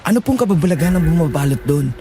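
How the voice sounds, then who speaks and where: A man's voice: a little high, expressive, racing, warm tone, slight background noise, lightly acted. A man playing a curious, uneasy young friend in a dramatized horror story, polite but blunt and inquisitive. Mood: nervously curious.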